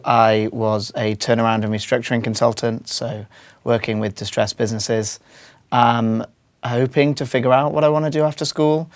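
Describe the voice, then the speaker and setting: A man's voice: mid-range voice, creaky, no echo. A British man in his twenties giving informal, slightly hesitant answers as a student panelist. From United Kingdom. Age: 20s.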